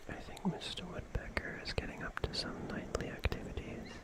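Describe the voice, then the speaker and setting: A performer voicing an ancient, gentle but eerie forest guardian in an ASMR roleplay, hushed and soothing, with lingering pauses. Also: lightly acted. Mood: dreamy, musing. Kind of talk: whispered ASMR roleplay, horror-tinged bedtime storytelling.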